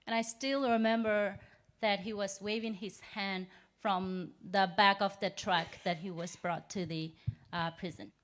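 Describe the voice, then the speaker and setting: Burmese-accented woman, 30s, measured and earnest speaker telling her story, mid pitch. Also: fairly even intonation. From Myanmar. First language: Rohingya. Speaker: invited speaker. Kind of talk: personal testimony speech.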